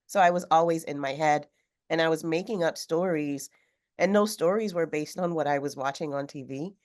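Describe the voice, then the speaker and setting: A woman author speaking on a video call, a little hoarse from a cold, talking thoughtfully with some fillers. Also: sits low, moderate pace, darkish tone. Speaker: author guest. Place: home room with sewing machine. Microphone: video call webcam.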